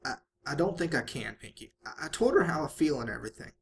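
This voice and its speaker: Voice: medium pitch, very animated, fast, creaky, a little hiss or hum. A narrator voicing an anxious, heartbroken young country farm mare with a folksy, hesitant manner. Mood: dejected, anxious.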